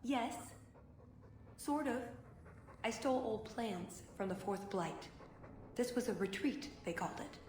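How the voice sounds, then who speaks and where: A woman's voice: fairly high pitch, animated, unhurried, pauses often, a little mellow. An actress playing a cheeky, self-assured female spy with a breezy, wry manner in a video game. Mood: matter-of-fact. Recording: reverberant hall, noisy ambience behind.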